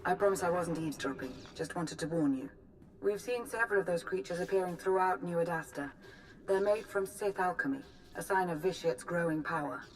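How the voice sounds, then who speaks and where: An actress playing a composed, confident military adviser, measured and matter-of-fact, in a game cutscene. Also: female voice, on the low side, natural voice, poor audio. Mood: calm, grave. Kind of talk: video game cutscene acting.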